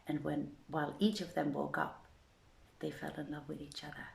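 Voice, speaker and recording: A woman's voice: low voice, level tone, moderate pace, gravelly, darkish tone. A woman hosting a storytelling evening and reading in a leisurely, conversational way. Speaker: host and reader of a storytelling series. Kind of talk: informal introduction, then literary storytelling reading. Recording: roomy echo with music underneath. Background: music playing in the background.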